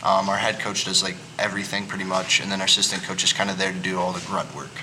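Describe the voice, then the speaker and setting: Easygoing young man, low voice, noisy shop. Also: little pitch movement, fast talker, bright, forceful tone. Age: young adult. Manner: easygoing, rambling with restarts. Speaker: guest, collegiate cyclist. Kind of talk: casual podcast chat. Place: bike and coffee shop. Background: shop noise, people working on bikes.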